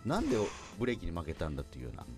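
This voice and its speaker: A man hosting a radio show with lively banter and announcements. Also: low-pitched, racing, music in the background.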